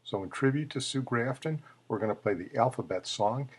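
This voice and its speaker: A man with a husky voice hosting a tribute show, presenting obituaries between clips. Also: mid-range voice, expressive, fairly quick. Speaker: host of a memorial tribute show.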